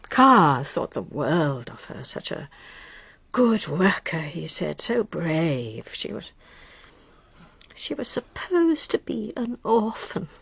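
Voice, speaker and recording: An actress playing a frail, ailing upper-class English widow in a radio drama, halting and bitter, with a weak, breathy, croaky voice. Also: female voice, low voice, fairly bright tone, no echo, heavily acted. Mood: bitter, scornful. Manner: halting, drifting.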